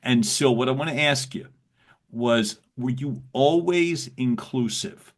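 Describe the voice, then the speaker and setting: A man hosting a podcast interview, with a loud voice and an affirming way of speaking. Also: mid-range voice, unhurried. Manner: warm, loud voice, affirming listener. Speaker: podcast host. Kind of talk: casual interview.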